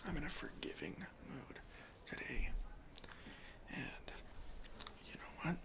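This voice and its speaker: A man whispering an ASMR roleplay as a deadpan airport security officer, breathy and deliberate, with pauses. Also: on the high side. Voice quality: breathy whisper with some fry. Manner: slow, hushed, deliberate, with pauses.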